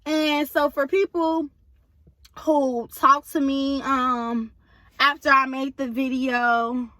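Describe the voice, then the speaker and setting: A woman talks straight to her viewers in a loose, chatty way. Her voice sits high and comes across bright and forceful, though a little hoarse. The sound is dry and close. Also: flat delivery, takes it slowly, dry recording. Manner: chatty, addresses viewers directly. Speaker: vlogger. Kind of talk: casual chat to viewers.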